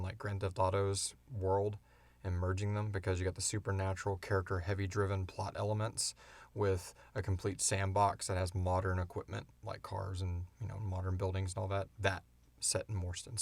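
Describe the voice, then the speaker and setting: A man's voice: deep voice, vocal fry, no echo. A man chatting casually as a podcast co-host, rambling along with enthusiasm as he answers questions. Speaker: creator and co-host of a fiction podcast. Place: small enclosed recording booth.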